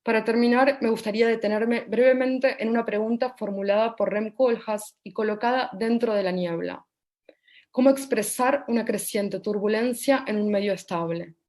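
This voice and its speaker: A woman reading a prepared academic presentation aloud in a measured, formal way. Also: fairly high pitch, darkish tone.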